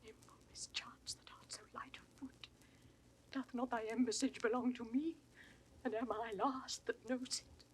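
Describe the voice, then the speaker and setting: High, husky, anguished queen. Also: fairly even intonation, unhurried. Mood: bitter grief. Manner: theatrical, impassioned verse delivery. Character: proud, anguished queen, imperious and grief-stricken. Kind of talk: 1960s classical Shakespeare audio drama acting.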